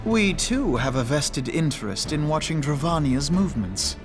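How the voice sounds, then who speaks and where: An actor playing an earnest, sharp-witted young noble scholar who talks precisely and pointedly. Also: male voice, on the high side, normal speed, music in the background. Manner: precise, pointed, lively questioning.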